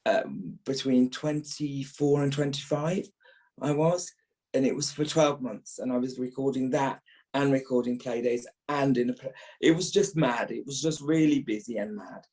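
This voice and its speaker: A man's voice: medium pitch, moderate pace, darkish tone. British man in his fifties, trained actor's voice. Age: early fifties. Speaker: guest, actor. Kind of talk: reminiscing in a podcast interview.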